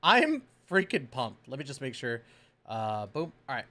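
A man in his thirties giving excited, slangy, casual reaction commentary as a streamer, close on the mic. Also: medium pitch, unhurried. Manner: animated, exclamatory, slangy. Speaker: reaction video host, streamer. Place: small indoor home room with shelves. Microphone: close microphone on boom arm.

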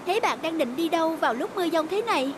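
A very high, childlike rabbit voice for a fairy-tale cartoon. The friendly little animal speaks over loud background noise. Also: female voice, expressive, fast talker.

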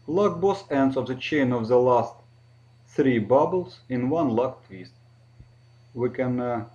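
A man with a Russian accent, a native Russian speaker, narrating a step-by-step tutorial slowly and carefully, with frequent hesitations. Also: medium pitch, dark tone, muffled recording. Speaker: balloon twisting instructor.

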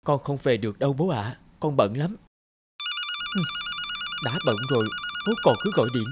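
A woman playing a busy, impatient young fashion designer in a cartoon, talking in a brisk, curt way. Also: very deep voice, animated, noisy background. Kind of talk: cartoon dialogue acting.

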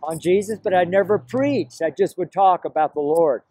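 A man's voice: high voice, normal speed, mellow, slight background noise. A middle-aged to older American man preaching informally to camera, rambling and emphatic, repeating his phrases. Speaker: street preacher. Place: outdoors, park path beside a road.

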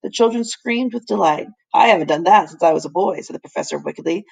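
A woman teacher reading a children's novel aloud to her class, voicing the characters with lively shifts. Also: lowish voice, quick pace, vocal fry, husky, low-quality recording. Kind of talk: children's book read-aloud.

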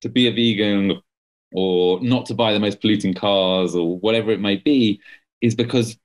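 A man's voice: fairly low pitch, normal speed. A man in his thirties, a journalist guest, talking thoughtfully with frequent restarts over a video call from home. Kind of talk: casual interview conversation. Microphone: laptop webcam on video call.

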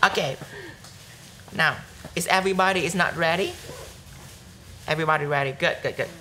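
A young man teaching a live nail art workshop, chatty and repeating steps softly as he demonstrates. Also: on the high side, brisk, a little hiss or hum. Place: bright studio classroom with students.

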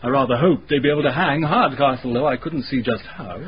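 An actor playing a flippant, well-connected young English gentleman in a radio drama. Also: mid-range voice, brisk, close to an everyday voice. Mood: breezy, urgent. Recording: muffled radio recording, faint noise.

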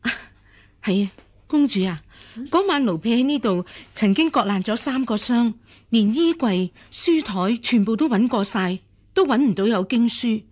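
An actress playing an anxious, deferential young palace servant in Hong Kong Cantonese radio drama. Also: female voice, on the high side, expressive, normal speed, a little mellow, dry recording, clean studio sound.

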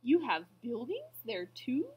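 Kind storybook elephant, read by a woman: high, earnest, anxious, protective, very animated. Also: moderate pace. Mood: reassuring. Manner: earnest, pleading.

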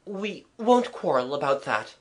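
Woman as an earnest, lovestruck gentleman. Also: sits low, sing-song, unhurried. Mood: happy, heartfelt. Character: earnest English gentleman bailiff, quietly lovestruck.

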